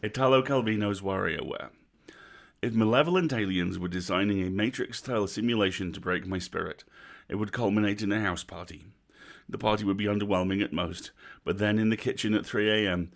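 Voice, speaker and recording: A British man with a wry, dry delivery, hosting a stream and reading a written review aloud with casual asides. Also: lowish voice. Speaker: host of a livestream reading series.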